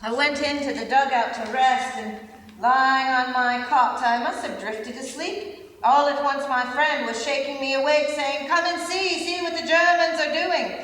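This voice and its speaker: A woman acting a reflective soldier recounts the trenches in a letter home. The voice is fairly high and measured, heard far off in a very echoey theater. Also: fairly even intonation, fairly bright tone. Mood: animated. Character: reflective young British soldier writing home to mother. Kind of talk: reader's theater storytelling. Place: large indoor theater stage. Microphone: far camera. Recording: distant, echoey theater stage, faint noise.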